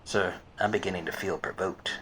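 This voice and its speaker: A man playing a wisecracking, obtuse private detective in a deadpan 1940s radio noir parody. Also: low voice, a little fast, breathy edge, heavily acted. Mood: cocky, touchy. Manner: deadpan, hardboiled.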